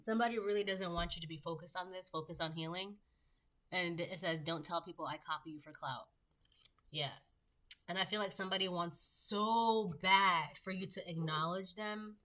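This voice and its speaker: Stuffy-nosed woman with a bright voice. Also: fairly low pitch, normal speed. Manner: rambling, loose, with many fillers. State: stuffy nose. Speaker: tarot reader. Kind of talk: casual tarot reading.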